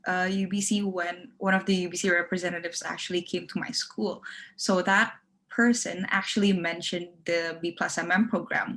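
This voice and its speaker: Slightly low-voiced young woman. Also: a bit bright. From Indonesia. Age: 20s. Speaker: international student panellist. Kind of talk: informal webinar interview answers.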